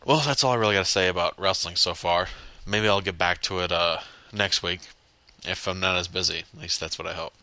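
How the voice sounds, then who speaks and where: A man's voice: low voice, fairly even intonation, fairly quick, bright, forceful tone, no room sound. A young man hosting a casual solo podcast, blunt and swearing as he rambles, with a stuffy, congested nose. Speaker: podcast host.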